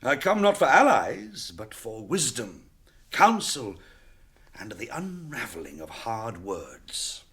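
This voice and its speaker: A man's voice: medium pitch, very animated, slow pace, fairly bright tone, full character voice. An actor playing a proud, embattled warrior nobleman, declaiming emphatically in a radio drama. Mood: proud, urgent.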